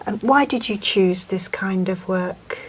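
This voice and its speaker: A woman examiner asking scripted questions in a measured, formal, polite way. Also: mid-range voice, husky.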